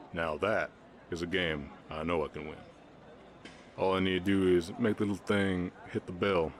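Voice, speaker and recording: A man playing a kind, protective, shy orc ranch hand with a Southern US accent, speaking gently and plainly in an intimate roleplay. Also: low-pitched, slight background noise. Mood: warm, playful. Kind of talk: intimate ASMR romance roleplay.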